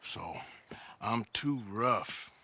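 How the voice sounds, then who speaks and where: An actor playing a weary, middle-aged outlaw cowboy with a rural Western American drawl, blunt and folksy in a video game drama. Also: male voice, mid-range voice, lively intonation, drawn-out words, gravelly, sharp tone, breathy edge, full character voice. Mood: guarded, wry. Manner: blunt, folksy, plain-spoken.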